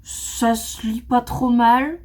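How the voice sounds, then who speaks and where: A woman talks through her manga collection in relaxed, unhurried chat, often stopping to search for words and restarting her sentences. Her voice sits a little high, and her pace is slow. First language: not English. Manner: hesitant, many fillers and restarts. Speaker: manga vlogger. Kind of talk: casual book review chat.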